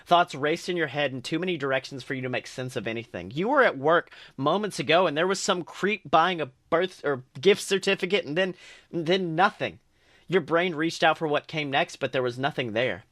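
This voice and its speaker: A man's voice: on the high side, fairly bright tone, dry recording, studio quality. A man co-hosting a podcast, reading second-person fan fiction aloud earnestly, with small stumbles and restarts.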